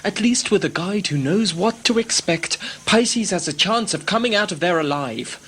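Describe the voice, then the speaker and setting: Sci-fi audio play: male actor as cautious, sceptical expedition man, husky tone. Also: high-pitched, expressive, moderate pace, a bit bright, heavily acted. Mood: exasperated argument. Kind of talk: audio drama acting.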